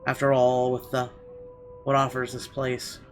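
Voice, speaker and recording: A man's voice: medium pitch, monotone, a little slow. A man doing a let's play, reading visual novel narration aloud expressively with occasional stumbles. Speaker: let's player. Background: music.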